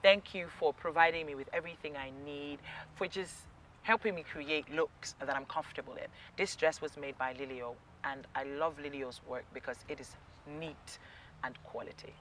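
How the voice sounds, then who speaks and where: Bright, bubbly young woman host. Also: low-pitched, normal speed, slight background noise, low-quality recording. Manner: bubbly, laughs often, upbeat. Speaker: talk show host. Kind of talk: hosting a casual interview. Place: outdoors in a sunny garden by palm trees.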